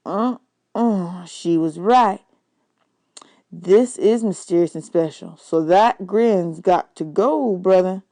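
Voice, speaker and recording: A woman's voice: lowish voice, takes it slowly, warm tone. A woman voicing an easygoing, kindly male jazz musician who mentors a boy in a storybook. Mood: teasing.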